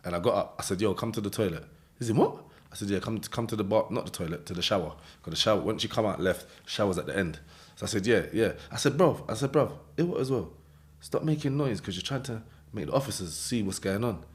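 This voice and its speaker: Laughing London man telling a rough story. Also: lowish voice, fast talker. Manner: animated street slang storytelling, acts out voices, laughs often. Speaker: interview guest. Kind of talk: casual storytelling interview.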